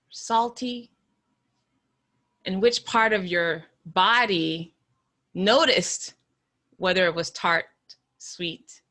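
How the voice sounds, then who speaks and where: A woman hosts an interview podcast in a bright, ringing voice. She speaks slowly and deliberately, then chats easily with her guest over a video call. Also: mid-range voice. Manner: slow, deliberate opening, then conversational with laughs. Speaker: podcast host.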